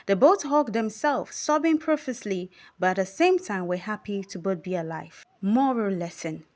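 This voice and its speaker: A woman narrating a scripted children's bedtime story as a storyteller, with deliberate, expressive read-aloud phrasing. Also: medium pitch.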